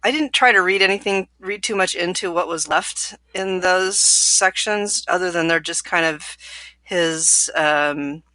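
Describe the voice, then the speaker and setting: A woman's voice: on the low side, a little slow, bright, forceful tone, no room sound. A woman co-hosting a podcast, explaining her reasoning in a casual book discussion. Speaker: podcast co-host.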